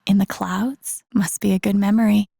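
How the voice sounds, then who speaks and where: A woman's voice: medium pitch, fairly even intonation, moderate pace, gravelly, dark tone, slightly rough, no echo, studio quality. A woman playing an earnest, wry, vulnerable young video game heroine, in an introspective, understated inner-monologue style. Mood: curious, focused. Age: young adult.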